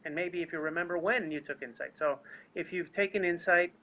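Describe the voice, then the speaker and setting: A man's voice: a little high, brisk, pushed and bright, poor audio. A man with a non-native English accent, earnestly explaining his seminar work and speaking straight to viewers over a video call. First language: not English. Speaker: seminar leader. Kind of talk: live webinar talk.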